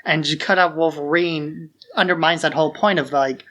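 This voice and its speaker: A man with a slightly high voice speaks as a podcast co-host. His delivery is informal and conversational, like an opinionated fan talking through films. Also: steady tone, moderate pace, sharp tone. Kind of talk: casual film discussion.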